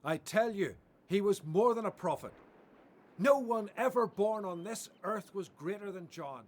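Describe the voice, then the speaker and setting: Man acting gentle prophet-teacher, husky, widely varied pitch, assured, earnest, radio play. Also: lively intonation, a little slow. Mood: raised, proclaiming. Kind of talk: radio Bible drama acting.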